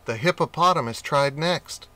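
A man reading a children's storybook aloud with gentle, expressive narration. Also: a little high, moderate pace. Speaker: audiobook reader.

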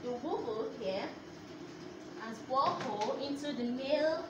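A young woman teacher with a Nigerian English accent explains a lesson in an unhurried, repetitive classroom manner. Her voice sits a little high. The phone picks her up from across a very echoey room, with clear background noise underneath. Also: recorded from a distance, highs cut off. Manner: slow, explanatory, repeats key phrases. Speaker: primary school teacher. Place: classroom with whiteboard, indoors. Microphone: phone camera a few steps away.

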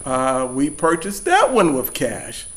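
A man answering interview questions about his farm, speaking in a measured, explanatory way with frequent restarts. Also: mid-range voice, sing-song, a bit bright. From Louisiana. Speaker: farmer.

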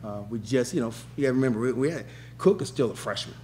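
Fast-talking middle-aged man, emphatic coach. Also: medium pitch, animated, darkish tone, a little hiss or hum. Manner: rapid, repetitive restarts, emphatic. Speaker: head basketball coach. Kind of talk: post-game press conference answers. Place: press room with backdrop. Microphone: table mic.

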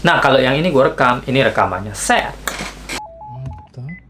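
A man in his thirties, seated in a small bedroom with a gaming setup, telling a story casually and acting out the dialogue with lively shifts in pitch, recorded on a camera mic. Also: normal speed, a little hiss or hum. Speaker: vlogger. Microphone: camera mic, seated facing camera.

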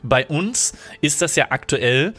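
A man's voice: a little high, lively intonation, slow, a bit bright. A German-speaking man commentating a strategy game at his desk, explaining mechanics thoughtfully with many fillers while recovering from a cold. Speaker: let's play host.

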